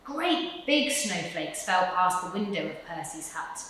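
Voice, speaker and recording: Expressive, slow-paced woman reading, very echoey. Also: lowish voice, a little hiss or hum. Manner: dramatic, storytelling emphasis. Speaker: story reader. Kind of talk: expressive children's picture-book reading. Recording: very echoey room.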